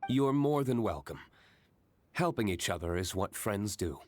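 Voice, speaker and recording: A reserved young master of a winery in a video game. His voice sits low but moves expressively. He speaks politely and with measured understatement. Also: lively intonation, a little hiss or hum. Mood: calm, helpful. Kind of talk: video game character voice acting.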